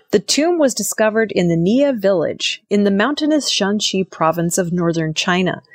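A woman's voice: mid-range voice, dry recording. Woman reading news in a dry recording. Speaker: news presenter. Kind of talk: scripted news narration.

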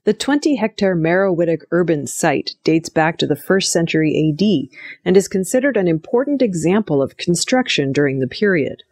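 A woman's voice: low voice, darkish tone, no echo. A woman reading scripted news narration as the presenter of an audio news program. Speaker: news reader.

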